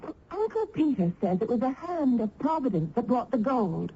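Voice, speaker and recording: An actress playing a tender, troubled young village niece in a radio drama, earnest and emotional. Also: female voice, fairly high pitch, sing-song, normal speed, warm tone, a little hiss or hum. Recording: dull old radio recording.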